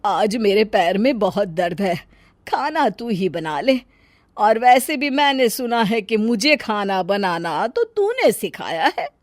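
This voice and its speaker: A woman's voice: medium pitch, sing-song, a little slow, no room sound. A woman playing an offended, bossy middle-aged mother-in-law in a Hindi family story, sarcastic and commanding.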